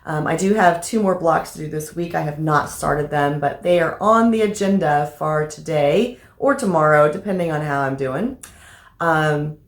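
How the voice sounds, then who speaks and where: A woman with a slightly raspy voice from allergies, chatting casually about her crafting progress with lots of fillers. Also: low voice, moderate pace. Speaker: crafting vlogger. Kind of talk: casual show-and-tell vlog. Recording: echoey room.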